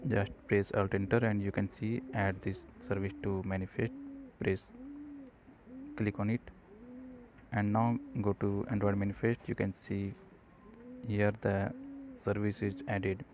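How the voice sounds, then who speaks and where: A man narrating an unscripted screencast tutorial, explaining step by step in a halting way. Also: lowish voice, flat delivery. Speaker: programming tutorial presenter. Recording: noticeable background noise.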